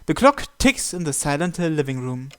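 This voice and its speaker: A man's voice: fairly high pitch, big pitch swings, moderate pace, smooth. A man hosting a visual novel playthrough, reading the first-person narration aloud.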